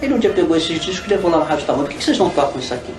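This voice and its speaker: A man's voice: on the high side, animated, rapid-fire. A Brazilian man telling anecdotes in a spontaneous interview recollection. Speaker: interviewee recalling radio history. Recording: roomy, distant interview with music.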